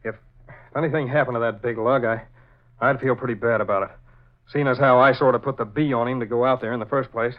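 A man's voice: medium pitch, fairly even intonation, quick pace, lightly acted. Earnest, worried pal male actor, mid-range voice, 1940s radio style, dull vintage sound. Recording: muffled old radio recording.

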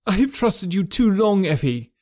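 A man voicing an agitated, anxious Victorian husband who speaks urgently and forcefully in a raised voice. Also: sits high, big pitch swings, moderate pace, breathy edge, dry recording, clean studio sound, pitched above the performer's own voice, heavily acted.

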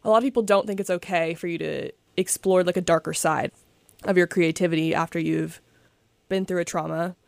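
A young podcast host asking questions in a relaxed, supportive way, with "like" and "um" fillers. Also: medium pitch, fairly quick, gravelly, no echo. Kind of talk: casual podcast interview.

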